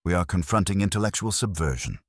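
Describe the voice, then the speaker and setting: Guy reading as a pompous genius detective, super low voice, formal and terse, audiobook mystery. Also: expressive, fast, husky, no echo, studio quality. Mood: grave. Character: brilliant, imperious male detective, scowling and cerebral.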